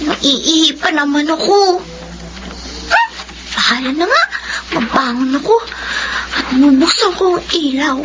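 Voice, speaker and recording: An actress playing a timid, easily frightened little daughter in a Filipino radio drama. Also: female voice, sits high, lively intonation, a little slow, long pauses. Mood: nervous. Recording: dull, noisy lo-fi radio.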